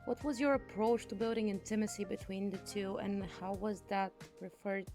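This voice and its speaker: A woman's voice: mid-range voice, fairly even intonation, brisk, over music. A woman hosting an interview and asking prepared questions. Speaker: interview host.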